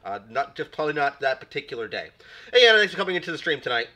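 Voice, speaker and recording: A man hosts a casual live stream from a home desk mic, his voice carrying the tail end of a cold. His tone is bright and forward. He rambles easily, doubling back on words as he chats to his viewers. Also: a little high, very animated, rapid-fire. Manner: rambling, repeats words, greets chat viewers. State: recovering from a cold. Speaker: stream host.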